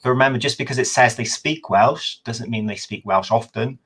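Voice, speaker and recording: A man, a history professor, giving a measured, thoughtful explanation over a video call, sometimes correcting himself mid-sentence. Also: medium pitch, fairly bright tone, husky. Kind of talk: explanatory talk in a webinar.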